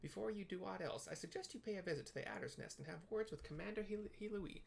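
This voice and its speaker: A person reading game dialogue aloud as a formal, earnest fantasy character, with theatrical, archaic, deliberate delivery. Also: mid-range voice, lively intonation, creaky, a little hiss or hum, voice pushed up. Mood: grave, earnest. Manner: theatrical, stately, deliberate wording.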